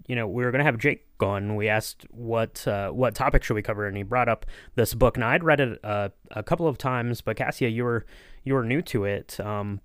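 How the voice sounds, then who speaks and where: Guy podcast co-host, casually explaining stuff, medium pitch, really dry close sound. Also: clean tone, no echo. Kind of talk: casual discussion.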